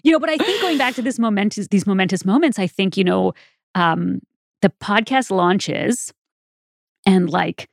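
A woman's voice: medium pitch, animated, normal speed, creaky, no room sound, studio quality. A woman co-hosting a podcast, chatting casually and reflectively in a conversational, anecdotal way. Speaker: podcast co-host. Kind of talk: casual chat, reflecting on the show.

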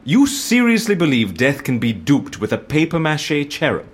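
An actor with a British accent playing a jovial, eccentric, inquisitive gentleman schemer in an audio drama, theatrical and playful. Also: on the high side, sing-song, normal speed. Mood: probing, intrigued.

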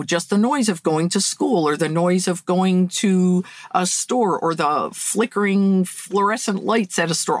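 A woman's voice: medium pitch, no room sound. A middle-aged woman from upstate New York, a podcast guest telling her story in a reflective, conversational way. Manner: reflective, warm storyteller with light laughs.

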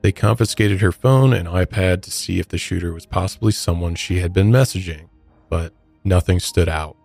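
A man with a deep voice tells a true crime story as a podcast host. He is conversational and explanatory, talking straight to the listener, with music faintly underneath. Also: normal speed, creaky, darkish tone.

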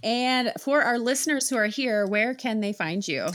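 A woman hosting a podcast, chatting casually and asking her guest upbeat quick-fire questions. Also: fairly high pitch, expressive, fairly bright tone. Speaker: podcast host. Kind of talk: casual interview chat.

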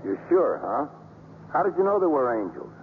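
An actor playing a plain-spoken baseball manager, gruff but softening, in a 1950s radio drama. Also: male voice, fairly high pitch, wildly swooping pitch, moderate pace, heavily acted. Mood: curious, softening. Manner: blunt, colloquial, hesitant and polite with the nun. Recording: tinny, noisy old radio broadcast.

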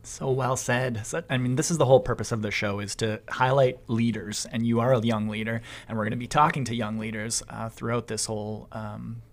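A man's voice: medium pitch, steady tone, a little fast, few pauses. A man hosting an interview, asking long, rambling questions in a conversational, encouraging way. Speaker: host.

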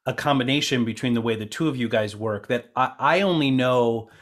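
A man's voice: mid-range voice, brisk, fairly bright tone. A man hosting a casual round-table podcast conversation, speaking in an earnest, appreciative way. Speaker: podcast host and co-producer.

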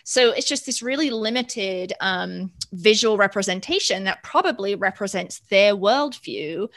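A woman's voice: medium pitch, animated, a little slow, fairly bright tone, no room sound. A woman co-hosting a casual conversation, candid and critical, laughing easily.

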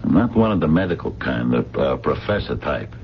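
A gruff amateur sleuth in an old radio mystery. The voice is low but moves around a lot, dry and terse with some hesitation. It has the dull sound of a vintage broadcast. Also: male voice, very animated, normal speed, a little hiss or hum, exaggerated voice. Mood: dry, grim. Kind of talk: 1970s radio drama acting.

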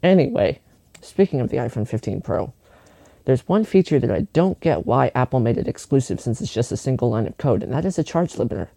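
A man's voice: mid-range voice, animated, fairly quick, creaky, dark tone. A man giving a humorous scripted tech report, sarcastic and exasperated in manner. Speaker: tech news contributor. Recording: music and sound effects underneath.

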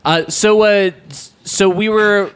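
A man's voice: high voice, fairly even intonation, slow, clear, resonant tone. A man from Southern California, co-hosting a podcast in casual chat, laughing often as he explains. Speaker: podcast co-host.

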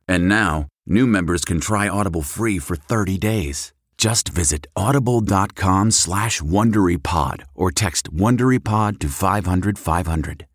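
A middle-aged man delivers a smooth, promotional ad read. His voice is very low, recorded dry and close with no room sound. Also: no echo. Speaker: ad announcer. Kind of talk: scripted ad read.

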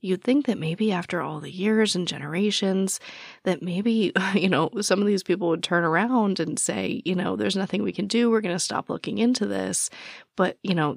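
A young woman hosting a true crime podcast who is losing her voice, raspy and soft-spoken, earnest, with frequent ums. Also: lowish voice, no room sound, studio quality. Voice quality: raspy, whispery. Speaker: podcast host. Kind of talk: true crime podcast narration and casual after-show chat.

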